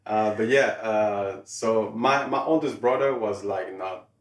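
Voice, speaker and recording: A man's voice: lowish voice, unhurried. A man with a French-speaking Belgian accent, a comedian, answering interview questions in a hesitant way with many fillers; French is his first language. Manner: hesitant, lots of uh and um. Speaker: stand-up comedian guest. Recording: roomy, mic at a distance.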